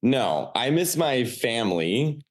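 A man's voice: mid-range voice, very animated, slow. A man in his late thirties from Chicago with a Chicago accent, a comedian chatting casually and rambling with lots of fillers. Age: 30s. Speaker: stand-up comedian guest. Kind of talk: casual podcast chat.

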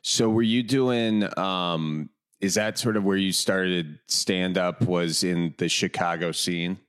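A man in his late thirties with a Wisconsin accent, hosting a casual chat in an easygoing, halting way with many fillers. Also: deep voice, steady tone, fairly bright tone, clear, resonant tone. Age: 30s. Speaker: podcast host.

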